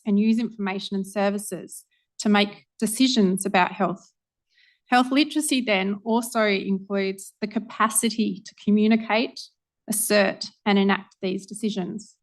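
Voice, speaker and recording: A woman researcher giving a public lecture, earnest and organized, often pausing with ums. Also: medium pitch.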